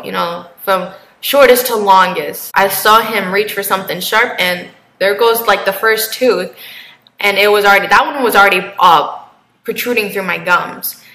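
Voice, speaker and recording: A woman's voice: mid-range voice, moderate pace, bright, forceful tone, echoey room, poor audio. A woman in her twenties talking casually to camera in a rambling, chatty way with lots of fillers. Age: 20s. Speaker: vlogger.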